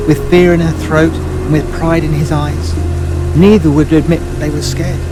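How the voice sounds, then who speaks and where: A man narrating a scripted story in a solemn, dramatic, measured way. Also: on the high side, rough recording. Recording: loud music over the voice. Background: loud music.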